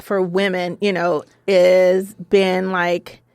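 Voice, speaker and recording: A woman co-hosting a podcast in casual chat, upbeat and quick to chime in with encouragement. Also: on the low side, little pitch movement, darkish tone, clear, resonant tone, dry recording, clean studio sound. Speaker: podcast co-host.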